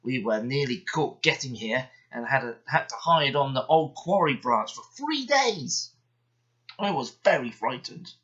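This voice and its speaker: A man's voice: mid-range voice, very animated, unhurried, a bit bright, lo-fi sound. A man voicing a frightened, grateful male steam engine in a storybook, talking timidly and shakily. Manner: timid and shaky.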